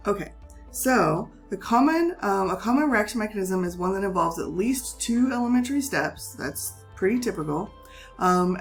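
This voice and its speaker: Hoarse, gravelly-voiced woman teaching chemistry. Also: medium pitch. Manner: patient, reassuring, steps through material. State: hoarse from a cold. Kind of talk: recorded classroom lesson.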